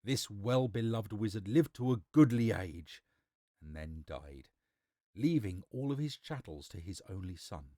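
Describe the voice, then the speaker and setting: A man's voice: lowish voice, expressive, unhurried, dark tone, dry recording, clean studio sound. A man reading a storybook aloud as a theatrical, emphatic narrator.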